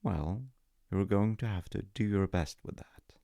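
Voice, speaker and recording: A man voicing a strange, calm male angel in a story, unhurried, formally polite and cryptic. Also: lowish voice, gravelly, no room sound, studio quality, pitched above the performer's own voice.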